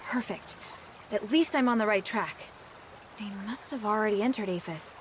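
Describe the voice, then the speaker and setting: A woman's voice: medium pitch, normal speed, heavy background noise, natural voice. A woman voicing a determined young female adventurer in a video game, musing expressively to herself. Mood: curious, relieved. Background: music.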